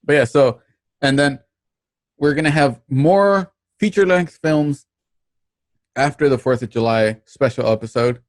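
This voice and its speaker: A man hosting an online show, giving a casual, halting sign-off with plugs and thanks. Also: medium pitch, no echo. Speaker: host of an online radio drama show.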